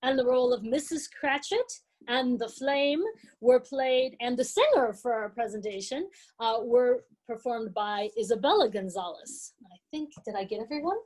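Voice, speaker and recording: A woman with a fairly high voice presents a student program live. She speaks informally and sounds proud and appreciative of the performers as she announces them. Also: expressive, normal speed, darkish tone, poor audio.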